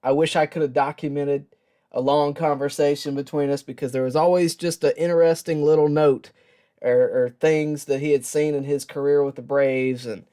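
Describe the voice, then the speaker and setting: A man hosting a sports show, giving a personal tribute in a reflective, earnest and halting way with pauses. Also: fairly high pitch, steady tone, mellow. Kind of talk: personal tribute talk to camera.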